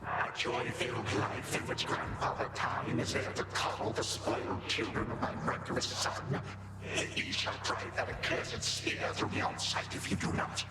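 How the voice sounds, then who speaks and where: An actor playing an ancient, bitter Titan grandfather in a video game, with a breathy hiss and grandiose, archaic, sardonic delivery. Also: lowish voice, moderate pace, close to an everyday voice. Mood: scornful, bitter. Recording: loud music behind, echoing room. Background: loud game music.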